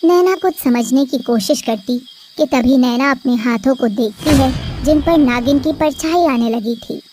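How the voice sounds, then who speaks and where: High-voiced woman narrating a cartoon tale. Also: a little slow, noisy background. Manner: steady, storytelling cadence. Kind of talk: scripted storybook narration.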